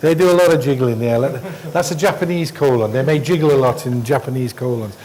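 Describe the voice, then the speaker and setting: A man's voice: medium pitch, crowd noise, rough recording. Blunt man coaching endoscopy. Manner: blunt, brisk instructions, dismissive asides. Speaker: endoscopy trainer. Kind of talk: hands-on teaching, coaching a trainee. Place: hospital endoscopy training room.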